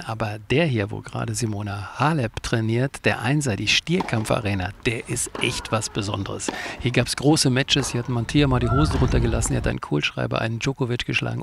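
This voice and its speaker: A man reports casually from a noisy outdoor sports location. His voice sits at a middle pitch with a creaky, gravelly edge. He is chatty and colloquial, speaking directly to the viewers. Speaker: presenter of a weekly tennis web show. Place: outdoors at a tennis tournament.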